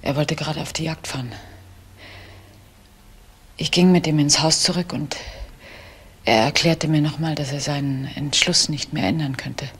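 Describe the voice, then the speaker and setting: An actress playing a distressed older woman who makes a halting, subdued confession in a German radio crime drama. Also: sits low, slightly rough, exaggerated voice. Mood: subdued, anguished.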